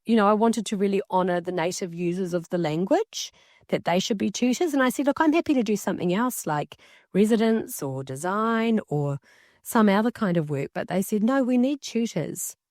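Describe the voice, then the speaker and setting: Kiwi woman voicing a signer, casual storytelling, kinda halting phrasing, dry close sound. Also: medium pitch, animated, darkish tone, dry recording. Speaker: sign language interpreter voicing a signer. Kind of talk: casual conversational storytelling, interpreted from sign language.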